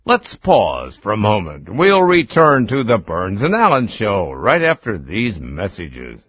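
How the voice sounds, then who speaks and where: A man reading a scripted continuity announcement in a measured, deliberate way, muffled and over music. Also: fairly low pitch, wildly swooping pitch, noisy background.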